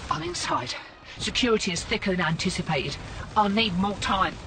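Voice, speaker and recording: An actor voicing a dry, self-assured infiltrator from a rebel crew in a video game, with a wry way of talking. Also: male voice, high voice, big pitch swings, moderate pace, exaggerated voice. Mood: brisk, confident. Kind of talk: video game character acting. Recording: clear noise and ambience behind.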